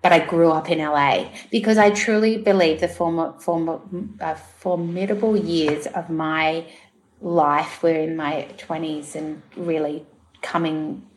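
A woman's voice: low-pitched, steady tone, a little slow, poor audio. A middle-aged woman from New Zealand, chatting as an interview guest, laughing often, with lots of fillers and restarts. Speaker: podcast guest, TV producer and director. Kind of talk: casual interview chat.

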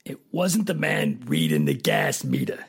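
A man playing a dazed, plainspoken tough guy in a radio drama, with a creaky, raspy voice and halting, ungrammatical speech. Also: very deep voice, huge pitch swings, full character voice. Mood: confused, defensive.

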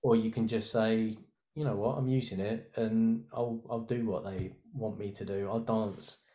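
A man in his thirties talks through ideas on a chat podcast, rambling and restarting as he thinks. His voice sits a little low. The sound is roomy and echoey. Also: steady tone, unhurried, warm tone. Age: 30s. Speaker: designer guest.

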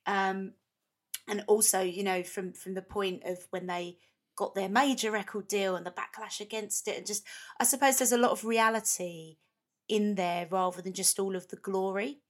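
A woman's voice: medium pitch, steady tone, normal speed. Chatty woman interviewer. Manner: chatty and encouraging, with short reactions. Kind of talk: casual interview chat.

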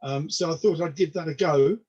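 A man's voice: fairly high pitch, fairly quick, mellow, lo-fi sound. A man in his mid-sixties from the UK whose first language is Polish, chatting casually as an interview guest in a self-deprecating way, often stammering over repeated words. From United Kingdom. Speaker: podcast guest, comedian.

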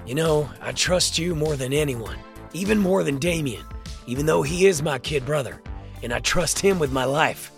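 An actor playing a cocky, ambitious crime boss in an audio drama, with a gravelly, self-assured delivery. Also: male voice, a little high, normal speed, soft background music. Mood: earnest, trusting. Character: cocky, ambitious male crime boss, loyal to his partner.

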